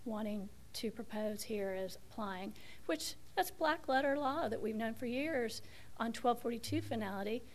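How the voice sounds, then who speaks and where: A woman attorney making an appellate oral argument while she is losing her voice, speaking in a measured, hesitant way with frequent restarts. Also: a little high, poor audio. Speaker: attorney arguing an appeal. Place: courtroom.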